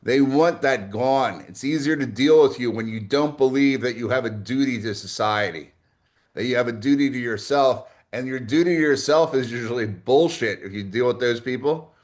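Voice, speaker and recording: A man with a medium-pitched voice gives a political commentary. His delivery is blunt and opinionated. He moves between prepared, formal phrasing and offhand asides, often posing rhetorical questions to the listener. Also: moderate pace. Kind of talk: opinionated political monologue, part scripted with asides.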